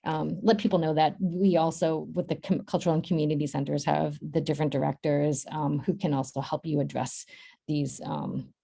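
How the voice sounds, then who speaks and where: Casting: female workshop host, low creaky voice, halting informal advice-giver who often rephrases herself. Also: fairly even intonation, warm tone. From Boston, United States. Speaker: workshop host and centre staff speaker. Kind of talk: informal workshop advice over video call. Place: virtual workshop video call.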